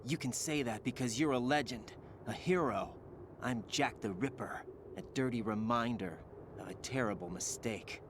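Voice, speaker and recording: A man plays a young soldier in a mid-range, earnest voice, questioning and unsure of himself. Ambient game noise hums clearly behind him. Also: mid-range voice, moderate pace, natural voice. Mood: troubled. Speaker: game character. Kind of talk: video game cutscene voice acting.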